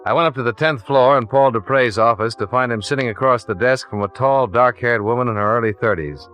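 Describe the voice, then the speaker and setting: Male actor for brisk American freelance investigator, 1950s radio detective drama, sharp bright delivery, old broadcast sound. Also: medium pitch, lightly acted. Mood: matter-of-fact. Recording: vintage radio broadcast, faint music.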